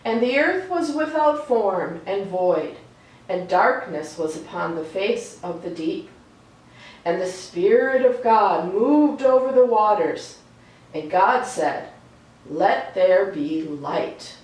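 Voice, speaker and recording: A woman reading aloud for a children's storytime in a slow, deliberate, expressive way. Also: mid-range voice. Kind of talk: read-aloud storytime with chatty asides. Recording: echoey room, distant mic, faint noise.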